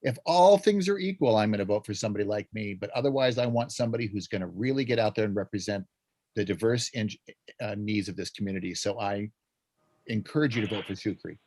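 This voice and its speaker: A man at a meeting speaking deliberately and emphatically in favor of a candidate. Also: fairly low pitch, darkish tone, dry recording. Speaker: meeting member. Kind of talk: short speech in favor.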